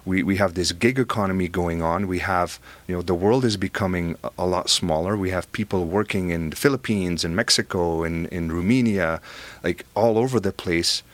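A middle-aged man from Belgium with a Belgian accent, a guest in a conversational interview, animated and often repeating words as he starts sentences. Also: low voice, moderate pace, no echo.

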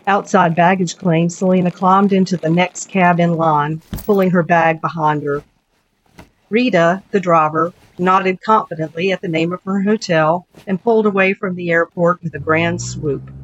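A woman's voice: fairly low pitch, steady tone, measured pace. A woman writer reading her own short story as scripted literary narration. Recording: dull lo-fi audio, background noise.

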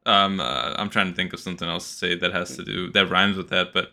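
Very bright, lowish male voice. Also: flat delivery, fast, creaky. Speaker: podcast co-host. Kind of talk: casual conversational chat.

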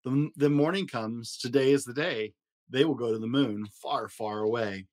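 A man hosting a story podcast, reading a rhyming children's picture book aloud in an expressive, rhythmic way. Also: mid-range voice, moderate pace. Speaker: podcast host and story reader.

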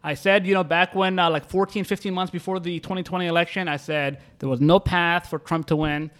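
A man's voice: high voice, steady tone, fairly quick, fairly bright tone. A man co-hosting a casual podcast, bluntly making confident claims with profanity. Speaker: podcast co-host. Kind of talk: casual chat.

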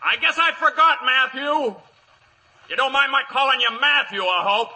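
An actor playing a sardonic, vengeful Old West cowboy in a 1950s radio drama. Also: male voice, very high voice, animated, normal speed, sharp tone, heavily acted. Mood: sardonic, needling. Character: sardonic, vengeful cowboy goading his brother.

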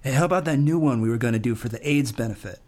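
A man playing an earnest young American slacker who leads a band in a comic radio play, husky and casual. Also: medium pitch, rapid-fire, darkish tone, close to an everyday voice.

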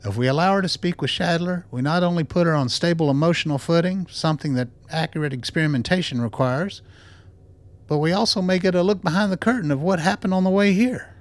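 An actor playing a research psychologist in a radio drama, polite, measured and quietly insistent as he reasons. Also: a little high, no echo, close to an everyday voice. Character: measured, persuasive male doctor and research psychologist.

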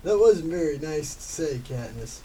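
A male performer voicing a polite, grumbling sloth, reading a little haltingly and mock-formally in an amateur radio comedy. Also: fairly high pitch, lively intonation, warm tone, natural voice. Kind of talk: amateur old-time radio comedy drama. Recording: distant mic with faint background noise.

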